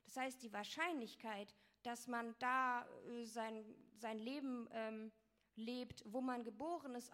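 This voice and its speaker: A young woman giving an explanatory talk with slides, fluent but with frequent fillers and restarts. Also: fairly high pitch. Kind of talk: presentation with slides.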